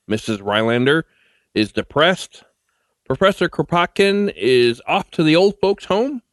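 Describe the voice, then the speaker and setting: Lively lower-middle male podcast host. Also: no room sound. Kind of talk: scripted podcast introduction.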